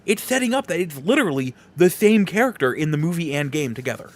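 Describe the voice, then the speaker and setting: A man's voice: on the high side, animated, fast. A man hosting a podcast, talking casually and informally through a solo movie review with rambling asides. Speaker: podcast host.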